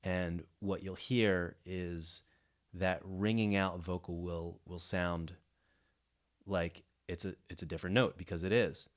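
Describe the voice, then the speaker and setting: A man with a low voice talks through a music production tutorial. He explains things off the cuff, often restarting and rephrasing his phrases as he thinks aloud. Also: fairly even intonation, unhurried. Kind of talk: explanatory software tutorial, unscripted. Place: indoors, voice-over of a screen recording.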